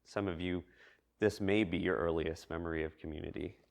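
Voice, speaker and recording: Male preacher, lower register, reflective sermon delivery, measured and thoughtful. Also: fairly even intonation, creaky. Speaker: preacher giving a church message. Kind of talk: reflective sermon addressed to a congregation.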